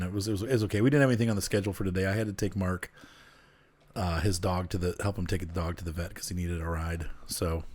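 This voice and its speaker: A man who sounds a little congested and nasal, chatting casually at home into a desk mic while he plays a train game, muttering to himself as he works. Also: low voice. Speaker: streamer playing a train game. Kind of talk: casual gameplay chat. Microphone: desk microphone turned up.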